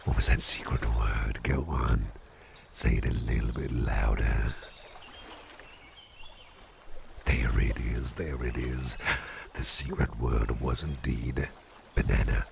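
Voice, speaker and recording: Man acting a very deep, gravelly soothing boss. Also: steady tone, noisy background, exaggerated voice. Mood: teasing, secretive. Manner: soft, soothing, unhurried, often dropping near a whisper. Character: calm, playful businessman boss hypnotizing his employee with a pendant. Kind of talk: slow, intimate ASMR roleplay.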